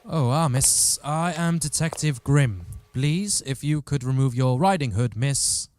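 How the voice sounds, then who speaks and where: A man's voice: mid-range voice, measured pace, darkish tone, natural voice. A man acting a dutiful, exasperated police detective in a comic radio play, formal and insistent with some hesitation. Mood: probing. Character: dutiful, exasperated police detective questioning fairy-tale witnesses.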